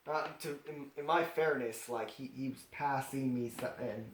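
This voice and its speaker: A storyteller reading aloud comedically, with animated, sarcastic improvised asides. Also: mid-range voice, slight background noise. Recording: roomy, echoing sound.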